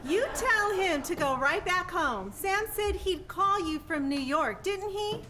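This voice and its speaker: Shrill, nosy, scolding mother. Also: animated, pushed and bright, a little hiss or hum, heavily acted. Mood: disapproving. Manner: shrill, scolding, drawn-out calling. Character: nosy, disapproving mother shouting from another room. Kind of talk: 1940s radio play acting.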